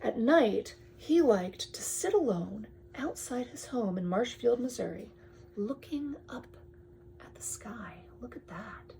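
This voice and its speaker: A woman reads a picture book aloud to a young child in drawn-out phrases, often dropping to a hushed tone and adding chatty asides. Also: fairly high pitch, animated, creaky, slight background noise. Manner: addresses the child with questions and pointers. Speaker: storyteller reading to a young child.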